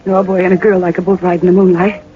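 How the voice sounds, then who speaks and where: A woman's voice: on the low side, little pitch movement, very fast, lightly acted. An actress playing an earnest, polite young woman, an owner's daughter, in a 1940s radio drama. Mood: helpful, polite. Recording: muffled 1940s radio recording, faint noise.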